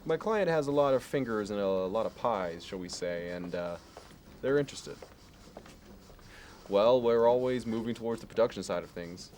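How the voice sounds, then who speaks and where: A man playing a smug, fastidious investment banker in an ASMR roleplay, with smooth, measured, fussy sales talk. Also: lowish voice, animated, a little mellow, natural voice. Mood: chummy. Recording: clear background noise.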